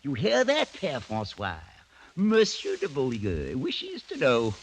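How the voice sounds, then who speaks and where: A man's voice: mid-range voice, wildly swooping pitch, slow, exaggerated voice. Wildly swooping, gleeful old nobleman. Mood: mocking, gleeful. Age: older. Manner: chuckling, theatrical, mock-courteous. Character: gleeful, menacing old French nobleman, proud and sly. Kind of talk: 1940s-50s radio acting. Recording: noisy vintage radio drama recording.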